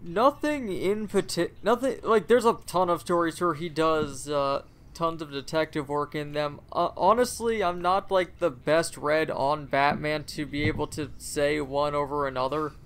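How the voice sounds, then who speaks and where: Man, lighter higher pitch, clean resonant tone, casual podcast co-host. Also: normal speed, fairly bright tone, smooth. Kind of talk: casual fan chat.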